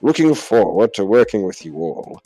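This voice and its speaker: A man's voice: lowish voice, sing-song, normal speed, mellow, no echo, soft background music, poor audio. A man voicing a peppy, businesslike female guild leader from a video game, announcing as he reads aloud. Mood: upbeat, brisk.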